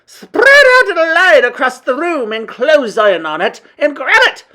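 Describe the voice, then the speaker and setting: A man's voice: very high voice, very animated, measured pace, sharp tone, voice pushed up, exaggerated voice. A man voicing a cruel, imperious female witch leader who screeches orders in a children's storybook reading. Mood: furious, commanding.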